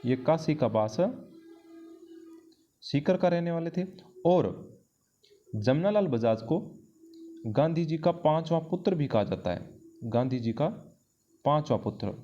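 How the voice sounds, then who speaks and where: A man's voice: a little high, animated, a little slow, long pauses, mellow. A man teaching an exam-prep lesson, explaining and repeating key phrases for emphasis, recorded on a dull lo-fi microphone. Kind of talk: history lesson going through quiz questions.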